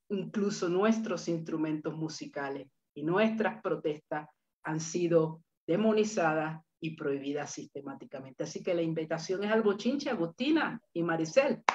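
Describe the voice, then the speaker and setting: Slow older woman on a dull call. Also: low voice, a little mellow. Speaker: host, moderator. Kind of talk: introduction to a panel talk. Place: indoor room with bookshelves, video call. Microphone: headset.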